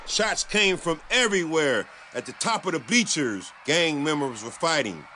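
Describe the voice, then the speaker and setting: A man's voice: fairly high pitch, expressive, normal speed, sharp tone, slight background noise. A man giving a dramatic scripted reading as an audiobook narrator.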